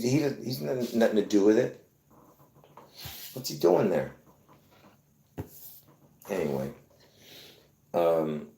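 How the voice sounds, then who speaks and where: A man from Lebanon hosting his own video, talking excitedly to viewers in a rambling, repetitive way with bursts of laughter. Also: lowish voice, roomy sound. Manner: excitable, repeats himself, laughs between points. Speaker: video host. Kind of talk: rambling casual monologue to viewers.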